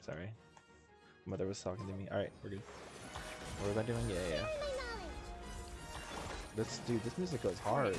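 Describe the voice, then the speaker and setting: Low-voiced man rambling over video game play. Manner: rambling, thinking aloud. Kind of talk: casual gameplay commentary.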